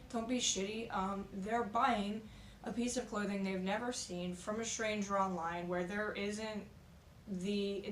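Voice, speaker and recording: A woman with a slightly raspy voice, recovering from being sick, giving frank, practical reselling tips at home in a casual vlog. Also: mid-range voice. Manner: frank, practical, conversational with fillers. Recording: echoey room with faint noise.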